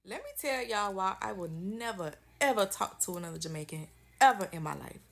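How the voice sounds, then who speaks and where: Low, gravelly woman telling a story. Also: lively intonation, brisk. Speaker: storyteller vlogger. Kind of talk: casual storytime.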